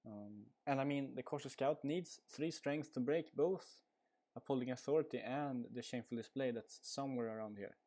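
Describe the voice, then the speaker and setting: A man's voice: medium pitch, normal speed, warm tone. A man with a Swedish accent giving casual live commentary on a card game stream, rambling with lots of fillers. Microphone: headset.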